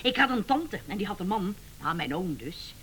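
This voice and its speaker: An actress playing the neglected, chatty wife of an inventor in a comic radio play, exclaiming and complaining dramatically. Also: female voice, medium pitch, big pitch swings, moderate pace, fairly bright tone, a little hiss or hum, poor audio.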